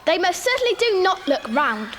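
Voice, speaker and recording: Bratty little girl, extremely high, piercing bright voice, petulant, bossy, demanding, children's audio drama. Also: big pitch swings, normal speed, full character voice. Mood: argumentative. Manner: petulant, contradicting, imperious. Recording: faint hiss, 1990 cassette dramatisation.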